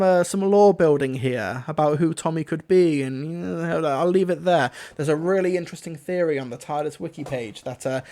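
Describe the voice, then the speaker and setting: A man's voice: fairly high pitch, darkish tone. A man hosting a casual, off-the-cuff review stream, talking in an enthusiastic, rambling way. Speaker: reviewer, livestream host.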